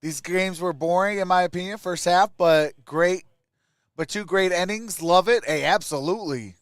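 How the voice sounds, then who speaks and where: A man's voice: high voice, moderate pace, smooth, no echo, studio quality. A man hosting a live sports stream, calling the plays and reacting in short clipped phrases. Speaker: live stream host and play-by-play caller.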